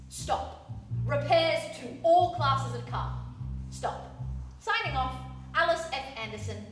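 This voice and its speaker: In a reverberant theatre, a determined young woman running a garage in a radio play. Her voice is high and swings expressively, earnest and eager, with music behind her. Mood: careful, nervous. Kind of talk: stage radio play acting. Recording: echoey theatre hall, distant mic, music behind.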